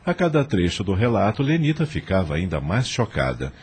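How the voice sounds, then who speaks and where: A man's voice: lowish voice, very animated, brisk, darkish tone, breathy edge. A man narrating a scripted radio drama as its storyteller.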